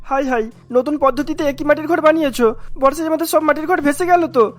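A woman's voice: high-pitched, quick pace, lightly acted. A woman voicing an urgent, insistent cartoon villager. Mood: complaining. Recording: music behind the voice.

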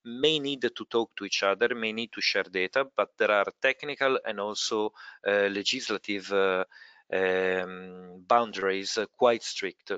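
A man presenting a research project in a webinar, speaking hesitantly and haltingly with repeated words. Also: fairly bright tone, dry recording. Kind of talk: webinar presentation over slides. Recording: dull, muffled low-fidelity webinar audio.

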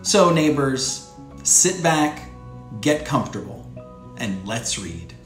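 An American man in his thirties hosting a children's read-aloud show, speaking to young viewers in clear, simple phrases as he presents and reads from a script. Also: medium pitch, big pitch swings, slow pace, a bit bright, echoey room, faint music behind. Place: small furnished room indoors.

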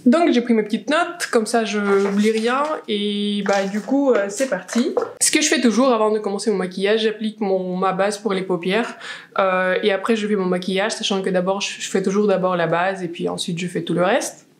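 A woman's voice: medium pitch, normal speed, slight background noise. Russian-accented woman chatting through makeup. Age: 20s. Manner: chatty, many fillers and restarts. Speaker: beauty vlogger. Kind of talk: casual chat while doing makeup. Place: small room indoors, plain wall.

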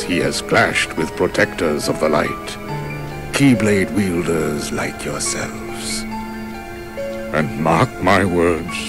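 Stern old wizard mentor for a game, very low, measured delivery, formal and grave, music behind. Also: male voice. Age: older. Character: stern, solemn old wizard master instructing apprentices. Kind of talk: video game cutscene acting, expository.

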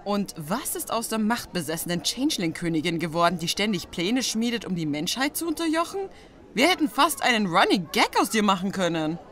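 Mischievous young pony girl played by a woman: brash, slangy, very animated intonation. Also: medium pitch, brisk. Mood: complaining. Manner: brash, slangy, complaining loudly. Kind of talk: fan audio drama.

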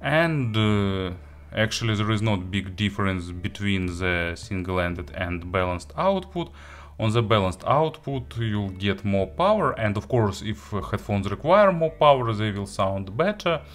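Man, non-native English speaker, fairly low voice, hesitant unscripted review, frequent pauses, music behind. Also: fairly bright tone. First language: not English. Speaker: audio gear reviewer.

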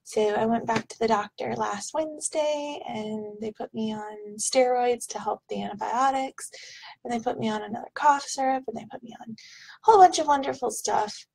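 A woman in her thirties, a bit hoarse from illness, chatting casually at her webcam in a small home office while unboxing products, with plenty of ums. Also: fairly high pitch, normal speed, darkish tone, low-quality recording. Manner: chatty, rambling, with frequent ums. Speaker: product vlogger. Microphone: webcam at desk.

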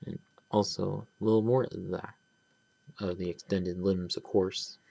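A man giving a casual, rambling toy review, pausing and restarting often as he handles the pieces. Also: low-pitched, flat delivery, creaky, mellow. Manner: rambling, halting, many restarts and trailing "but yeah". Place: small indoor room at a table. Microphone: camera close on hands, speaker off-frame.

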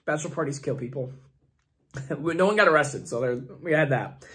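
Hoarse young man, rambling casual commentary, full of fillers, slightly high voice. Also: moderate pace, a bit bright. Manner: rambling, thinking aloud with many fillers. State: hoarse, losing his voice. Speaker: fantasy football channel host. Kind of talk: casual screen-share commentary.

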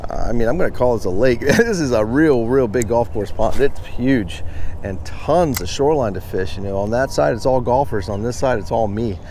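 A man's voice: medium pitch, normal speed, darkish tone, slight background noise. An American man in his thirties or forties giving casual, chatty commentary to viewers as a fishing vlogger, recorded outdoors. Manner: chatty, informal, addresses viewers as guys. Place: outdoors beside a pond.

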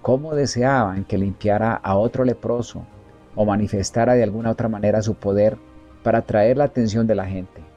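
A man reading a religious book aloud in a slow, solemn, evenly paced manner. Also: fairly low pitch, warm tone, muffled recording, soft background music. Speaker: reader. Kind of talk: measured scripted book reading.

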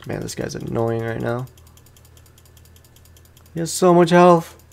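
A man's voice: a little high, slow pace, gravelly, a little hiss or hum. A man hosting a let's play, chatting casually and playfully in slangy speech as he comments on the game. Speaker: gamer, let's play host.

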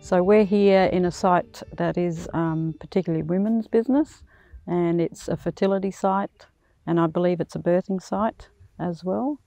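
A woman's voice: lowish voice, normal speed, dark tone, dry recording. An older woman with an Australian accent speaking reflectively and unscripted in an interview on location. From Western Australia. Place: outdoors in dry bushland. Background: faint music.

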